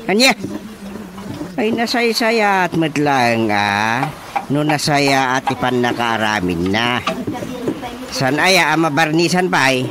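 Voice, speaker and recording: A man acting an inquisitive village chairman in a radio drama comedy, with an Ilocano accent and probing, drawn-out questions. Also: medium pitch, lively intonation, background noise. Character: village chairman, man inspecting carpentry tools with growing suspicion.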